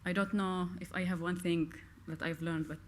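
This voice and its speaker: A woman's voice: lowish voice, little pitch movement, moderate pace. A woman with a Syrian Arabic accent, answering reflectively at a public Q&A, hesitant and searching for words. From Golan Heights. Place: on stage at a cinema Q&A. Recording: clear background room noise.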